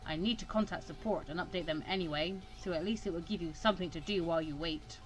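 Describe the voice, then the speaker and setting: A woman's voice: fairly low pitch, fast talker, soft background music, voice pushed down, close to an everyday voice, rough recording. A woman voicing a stern older male mentor in a visual novel, dry and instructive.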